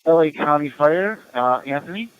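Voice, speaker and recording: A man acting as net control on a community radio net, giving informal announcements and roll calls in a halting, procedural way. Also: a little high, expressive, clean tone, no echo, muffled recording.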